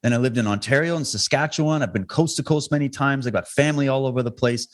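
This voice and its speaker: Man from Alberta, mid-pitched voice, guest on a podcast; fervent and impassioned delivery that climbs when he gets stirred up. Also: quick pace. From Canada (Alberta). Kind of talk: conversational podcast interview.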